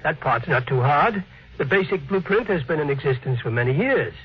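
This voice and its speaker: Careful polite engineer in old radio drama, really husky, lively pitch, muffled old recording. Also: male voice. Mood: hesitant, cautious. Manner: hesitant, polite, measured. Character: deferential, doubtful engineer reasoning with his boss. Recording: dull vintage radio recording.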